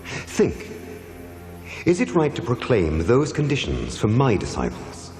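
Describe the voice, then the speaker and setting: A man playing a calm, wise spiritual teacher in an animated religious drama, speaking in a solemn, measured, authoritative way. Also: medium pitch. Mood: stern, calm. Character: calm, wise enlightened male spiritual teacher, firm and compassionate. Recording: echoey hall reverb, music behind.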